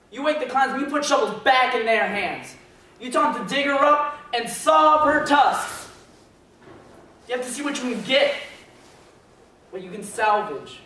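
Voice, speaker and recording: A man's voice: extremely high pitch, sharp tone, full character voice. A man on stage playing a bitter, ruthless circus boss in a readers theater drama. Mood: cold, commanding. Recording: very echoey hall, distant mic, faint noise.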